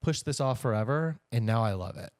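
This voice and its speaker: A man's voice: mid-range voice, animated, fairly quick, dry recording, clean studio sound. A man co-hosting a podcast in casual, joking conversation, often acting out little imagined remarks. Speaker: podcast co-host. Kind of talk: casual conversational chat with a partner.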